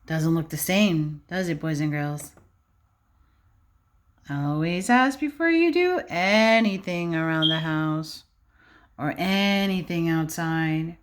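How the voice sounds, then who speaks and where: A woman teacher reading a picture book aloud to young children in an expressive, instructive way, often pausing to ask them questions. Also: fairly low pitch.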